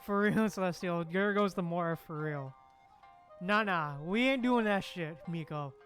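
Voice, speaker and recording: A woman with a lowish voice comments casually on a live game stream. She talks in loose slang while game music plays clearly behind her. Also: moderate pace.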